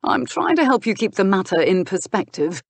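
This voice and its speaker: A woman voicing a patient, exasperated gentleman in a historical romance audiobook, with a measured, dry, reasoning manner. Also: medium pitch, big pitch swings, creaky, no room sound.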